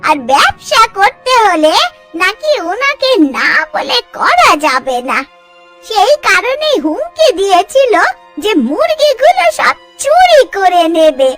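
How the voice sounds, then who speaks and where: A woman's voice: extremely high pitch, sing-song, slow, music in the background, heavily acted. A woman voicing a worried, scolding elderly village wife in a cartoon, fretful in manner. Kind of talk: Bengali moral-story cartoon dubbing.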